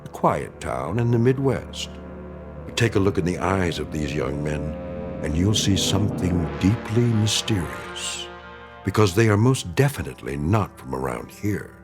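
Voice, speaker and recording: An actor playing a measured, ominous narrator of a mystery radio drama. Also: male voice, deep voice, big pitch swings, music in the background, full character voice.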